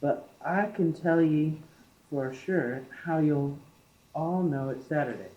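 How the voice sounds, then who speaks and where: A man's voice: on the high side, slow. A young man in an amateur radio play acting a stubborn, plain-spoken, crafty working man. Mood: matter-of-fact. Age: teenager. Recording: muffled, echoey classroom-made amateur recording.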